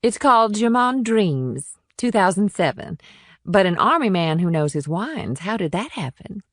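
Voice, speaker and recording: A self-assured, flirtatious businesswoman voiced by an actress in an audiobook, proud of what she has built. She speaks with poise at a middle pitch, recorded close and dry. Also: very animated, unhurried, fairly bright tone, no echo, full character voice. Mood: inviting, flirtatious. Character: confident, proud, flirtatious businesswoman running an upscale inn. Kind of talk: audiobook character dialogue.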